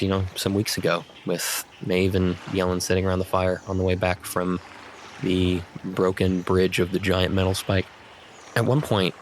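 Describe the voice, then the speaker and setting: Low-voiced man narrating a tabletop game. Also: a bit bright, a little hiss or hum. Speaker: game master.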